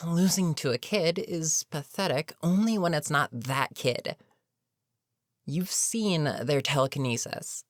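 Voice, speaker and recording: A voice actor playing an older, experienced villain in an audio roleplay, weary and dryly sarcastic but reluctantly protective, with a wry, deliberate delivery. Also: medium pitch, no room sound, studio quality, close to an everyday voice. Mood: exasperated, wry. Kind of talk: intimate audio roleplay monologue.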